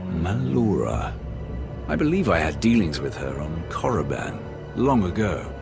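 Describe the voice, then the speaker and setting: A man playing a blunt, commanding dark lord in a sci-fi game, terse and imposing, with a gravelly voice. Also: low voice, sing-song, measured pace, exaggerated voice. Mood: curt, menacing. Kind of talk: scripted video game dialogue. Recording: music behind the voice.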